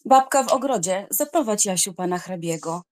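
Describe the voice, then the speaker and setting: A woman playing a gentle, reserved and laconic young gentlewoman in a dramatised audiobook. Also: mid-range voice, lively intonation, moderate pace, slight background noise, close to an everyday voice. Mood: calm, reserved.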